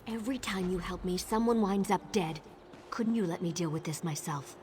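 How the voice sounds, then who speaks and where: A woman's voice: fairly low pitch, moderate pace, a little mellow, slight background noise, close to an everyday voice. A woman playing a bitter, jaded younger sister who left a cult, guarded and sarcastic, in video game dialogue. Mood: bitter, resigned.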